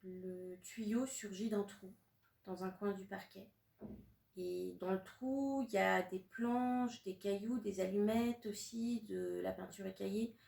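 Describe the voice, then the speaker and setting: A woman in her thirties from Russia, a native Russian speaker, reading her own poetic prose in a measured, deliberate way with long pauses. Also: medium pitch, steady tone, creaky, darkish tone. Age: 30s. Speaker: author. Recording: roomy sound from a distant mic.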